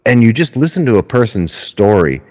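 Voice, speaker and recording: A man's voice: mid-range voice, big pitch swings, a little fast, darkish tone, in a large hall. A man who is a musician, a guest on a podcast, talking reflectively and often repeating his words as he starts. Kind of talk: casual conversation.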